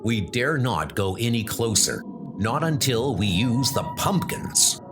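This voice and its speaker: A man's voice: medium pitch, expressive, unhurried, lightly acted. A man playing a brave adult armored knight, speaking in an earnest, rallying way. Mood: urgent. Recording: music playing underneath.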